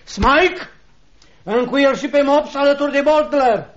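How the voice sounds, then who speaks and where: An actor playing a cruel, gloating schoolmaster in a theatrical radio drama, pompous and bullying with a mock-jovial manner. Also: male voice, very high voice. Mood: sneering, officious. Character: cruel, gloating schoolmaster tyrant, a grown man. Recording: dull lo-fi radio play recording.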